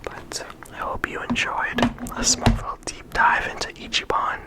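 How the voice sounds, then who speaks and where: A person whispering in ASMR style, talking through a discussion in a casual, halting way with lots of filler words. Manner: casual, halting phrasing with frequent "like" and "you know". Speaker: video creator and host.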